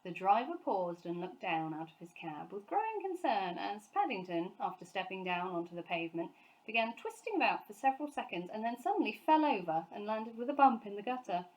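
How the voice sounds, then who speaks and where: Woman, children's storyteller, scripted narration, medium pitch, highly animated intonation. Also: fairly quick, rough recording.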